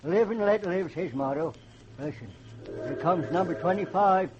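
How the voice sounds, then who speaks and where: An actor playing an old American hobo, genial and folksy, rambling in colloquial speech in a 1940s radio drama style. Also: male voice, high voice, moderate pace, dull audio, natural voice. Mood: chatty, easygoing. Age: older. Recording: noisy vintage radio recording.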